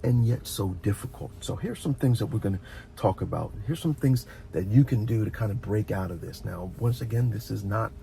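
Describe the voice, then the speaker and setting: A middle-aged man giving an informal, heartfelt advice monologue as a host, speaking earnestly and reflectively, often halting and repeating words. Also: fairly low pitch, creaky, warm tone, soft background music. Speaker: host of a relaxation and advice channel.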